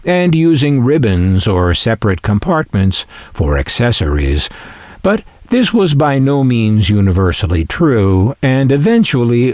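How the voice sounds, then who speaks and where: A man narrates at a slow, deliberate pace, with a slightly low voice that rises and falls freely. He explains things like a collector showing off a piece, musing wryly as he goes. The sound is dry and close. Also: a little mellow, no echo. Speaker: collector presenting a vintage radio.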